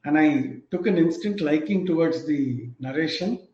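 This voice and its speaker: A man's voice: fairly high pitch, warm tone. Indian English man, 50s, reflective host, lightly raised voice, lo-fi room echo. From Tamil Nadu, India. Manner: reflective, unhurried storytelling with fillers. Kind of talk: casual book talk. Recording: echoey, dull lo-fi room sound.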